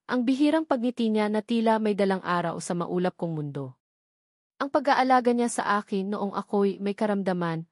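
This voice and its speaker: A Filipino woman tells a scripted romantic drama in the first person. Her delivery is measured and dramatic, with a mid-pitched voice in a very dry, close recording. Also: a little mellow, no echo. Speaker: story narrator.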